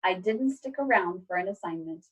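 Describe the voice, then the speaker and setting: A woman reading a children's storybook aloud like a teacher, with expressive, clearly phrased delivery. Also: on the low side, moderate pace, rough recording.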